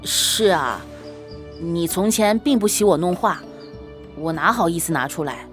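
A woman's voice: fairly low pitch, expressive, measured pace, faint music behind. A woman playing a doting, roguish young husband in a Chinese period romance audio drama, with a soothing manner. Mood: teasing, fond. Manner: soothing, hesitant, playfully boastful.

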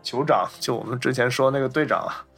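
A man with a medium-pitched voice co-hosts a casual film discussion. He talks in an explanatory, conversational way and readily chimes in with agreement. Music plays behind him. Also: fast talker, gravelly, fairly bright tone, slightly rough. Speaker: podcast co-host.

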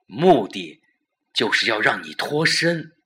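A man playing a scheming, excited lover who lays out a murder plan in a commanding, emphatic way for an audio drama. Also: medium pitch, slow, voice pushed up. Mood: forceful, assured.

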